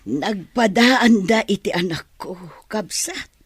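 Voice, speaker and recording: A woman's voice: medium pitch, lively intonation, slow pace, breathy edge. A woman playing a weary, aging mother in a radio drama, sighing and sorrowful over her wayward son. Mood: weary, resigned.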